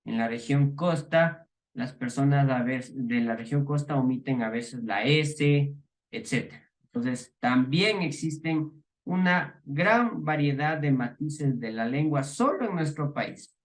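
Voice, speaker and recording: A middle-aged man from the Ecuadorian highlands with that accent, giving a class lecture with many fillers, restarts and rhetorical questions. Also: a little slow. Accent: Ecuadorian highland Spanish. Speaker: teacher.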